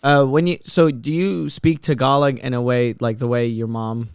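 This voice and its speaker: A man's voice: medium pitch, little pitch movement, normal speed, a little mellow, clean tone, dry recording. A man hosting a casual comedy podcast chat, joking loosely and rambling with many restarts.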